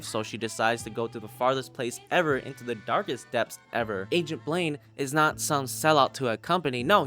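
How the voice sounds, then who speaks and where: A man giving a casual, sweary video review of audio drama podcasts in an expressive, informal way. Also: mid-range voice. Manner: expressive, foul-mouthed, informal. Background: background music.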